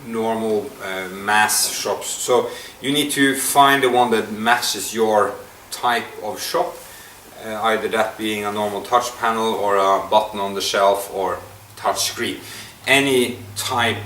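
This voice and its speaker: A man giving an unscripted product demonstration, explaining step by step in a halting, hesitant way. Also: fairly low pitch, steady tone, fairly bright tone. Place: indoor room at a table. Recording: echoey room, distant mic, faint noise.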